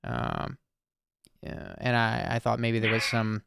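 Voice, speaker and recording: A man hosting an anonymous call-in circle, speaking softly and searchingly with many pauses and fillers. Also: medium pitch, monotone, gravelly, background noise. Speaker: host. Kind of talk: listening call-in conversation.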